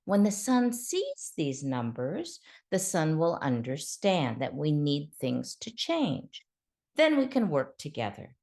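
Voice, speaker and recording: A woman's voice: low-pitched, huge pitch swings, slow, a little mellow, heavily acted. A small female mouse deer from a children's story, voiced by a woman. She is kind and reasonable, pleading earnestly with a lilting, varied melody. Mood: earnest, reasoning.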